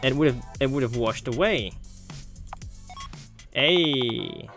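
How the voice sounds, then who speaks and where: A man's voice: mid-range voice, lively intonation, slow pace, fairly bright tone. A man doing casual let's play commentary on a game, playful and opinionated as he thinks aloud. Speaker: gaming let's player. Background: game music.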